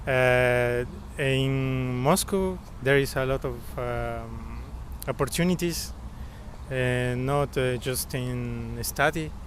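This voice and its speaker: A man, answering hesitantly with many pauses. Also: mid-range voice, slight background noise. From Ecuador. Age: 20s. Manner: slow, hesitant. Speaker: interviewee. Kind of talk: casual street interview answers. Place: outdoors on a city street.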